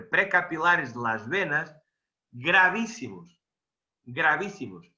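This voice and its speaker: A man with a Portuguese accent gives an expert explanation, hammering points home with repetition and searching for words now and then. His voice sounds very bright and forceful, and the audio is dull and lo-fi. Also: on the high side. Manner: emphatic, repeats words for stress, hesitant over vocabulary. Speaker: electro-aesthetics expert guest. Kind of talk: technical explanation in a video interview.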